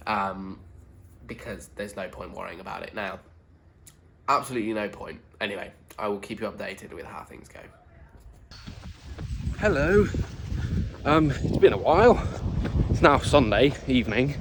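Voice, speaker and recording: A man's voice: on the low side, expressive, normal speed, creaky, pauses often, slight background noise, low-quality recording. A young man, giving frank, easygoing video diary updates to a handheld camera. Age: young adult. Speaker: student vlogger.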